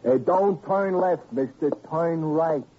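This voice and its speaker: An actor playing a cagey, folksy clerk of a cheap hotel, with a very raspy voice and sly wisecracks, in an old-time radio crime drama. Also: male voice, fairly high pitch, slow, slight background noise. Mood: evasive. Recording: muffled, lo-fi vintage radio recording.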